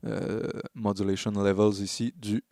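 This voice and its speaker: A man narrating a software tutorial as a music production tutor, explaining in a halting way and correcting himself as he goes. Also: lowish voice, level tone, vocal fry, no room sound, studio quality. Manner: hesitant, halting phrasing with self-corrections.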